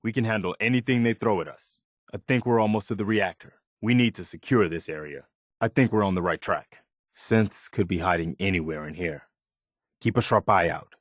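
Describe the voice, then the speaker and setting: A man playing an earnest, weary and idealistic militia officer in a post-apocalyptic video game, speaking in a measured way. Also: low-pitched, dry recording, studio quality. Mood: alert, focused. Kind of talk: video game character dialogue.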